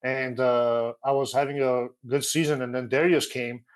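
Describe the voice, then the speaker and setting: A young man from Los Angeles, a guest on a video call, telling stories in a relaxed way with plenty of "uh"s. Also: mid-range voice, moderate pace, smooth. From Los Angeles, USA. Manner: relaxed storytelling, with frequent fillers. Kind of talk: casual interview. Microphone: video call with earphone mic.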